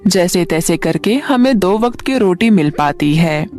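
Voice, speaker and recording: A woman's voice: fairly low pitch, lively intonation, measured pace, a little mellow. A woman voicing a poor, careworn mother with a plain, resigned manner in a storybook tale. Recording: music bed under the voice.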